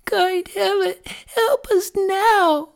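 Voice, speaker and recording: A woman's voice: very high voice, drawn-out words, vocal fry, pitched above the performer's own voice, exaggerated voice. A woman voicing a frightened, innocent little girl in a storybook reading, pleading in a plaintive way. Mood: despairing.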